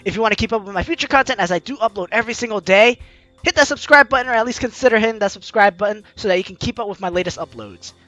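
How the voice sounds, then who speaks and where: A video game host with an animated, teasing, exclamatory way of talking, reading aloud and commenting playfully. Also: medium pitch, racing, no room sound, lo-fi sound, faint music behind. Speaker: let's-play video host.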